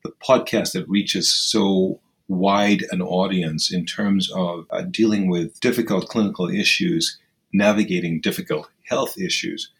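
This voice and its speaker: A man's voice: low-pitched, fairly even intonation, creaky, a bit bright. A man giving thoughtful, formally phrased answers as a surgeon guest in an interview. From Kenya. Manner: measured, formal, articulate phrasing.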